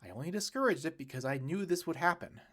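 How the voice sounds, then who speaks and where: A man's voice: fairly high pitch, animated, fast, a bit bright, voice pushed up. A man narrator voicing a fussy, exasperated middle-aged father.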